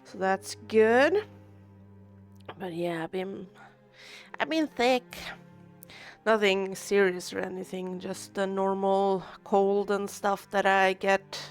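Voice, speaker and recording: A woman with a stuffy, congested voice gives casual let's-play commentary. She rambles along at an unhurried pace. Faint game music plays behind her. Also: medium pitch. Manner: rambling, chatty. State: stuffy nose from a cold. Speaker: streamer.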